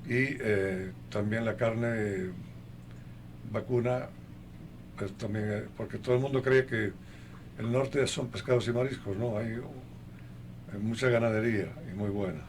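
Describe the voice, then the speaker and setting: An older man being interviewed in a conversational way, speaking haltingly and listing things one by one, with a gravelly voice. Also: fairly low pitch, fairly even intonation, slight background noise. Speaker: interview guest.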